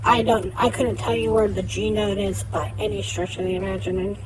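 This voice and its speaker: A woman's voice: medium pitch, level tone, fairly quick, a little hiss or hum, low-quality recording. A woman streamer talking candidly through a voice modifier on a cheap mic while playing a card game, with many fillers. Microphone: cheap desk mic. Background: game music.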